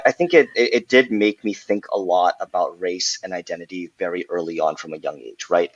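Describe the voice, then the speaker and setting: A young man from Southern California, a guest in an interview, talking in an animated way with frequent ums and laughs. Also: low-pitched, dry recording. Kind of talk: conversational interview. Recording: dry, dull lo-fi sound.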